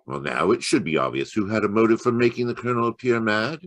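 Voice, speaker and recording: An actor playing a cool, precise gentleman detective in an old-time radio mystery. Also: lowish voice, expressive, fast, no room sound, close to an everyday voice. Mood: coolly explanatory.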